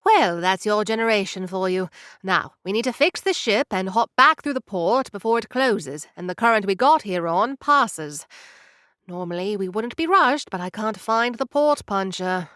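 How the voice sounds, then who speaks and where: A woman's voice: mid-range voice, expressive, moderate pace, fairly bright tone, no room sound, clean studio sound, close to an everyday voice. A woman playing a curt tiny ship captain. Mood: brusque. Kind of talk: audio drama acting.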